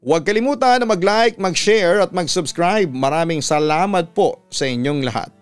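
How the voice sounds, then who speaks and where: A man with a Filipino accent, a radio announcer reading a scripted story in a measured, earnest way. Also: sits high, lively intonation, smooth. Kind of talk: scripted narration of a listener's letter. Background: music under the voice.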